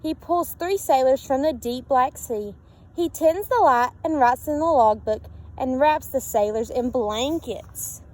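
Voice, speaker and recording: A woman's voice: high voice, measured pace. A woman reading a children's picture book aloud expressively, with sing-song repeats and questions to her listeners. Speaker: storybook reader. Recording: steady background noise.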